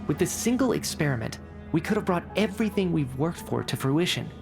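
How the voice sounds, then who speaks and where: An actor playing a confident, determined researcher in a video game, articulate and deliberate in delivery. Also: mid-range voice, animated, vocal fry, music in the background. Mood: frustrated, sober.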